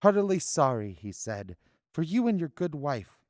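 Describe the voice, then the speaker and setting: A man's voice: fairly high pitch, big pitch swings, moderate pace, darkish tone, no echo, studio quality. A man playing a kindly, tender, grieving father in a radio-style drama. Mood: wistful warmth.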